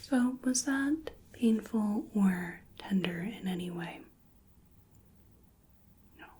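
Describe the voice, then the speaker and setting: A woman in her twenties speaking in a gentle, breathy whisper, carefully giving instructions in a soft-spoken ASMR medical roleplay. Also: mid-range voice, expressive, takes it slowly. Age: 20s. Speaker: ASMR performer playing a hearing examiner. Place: small indoor room. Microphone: close microphone, just off camera.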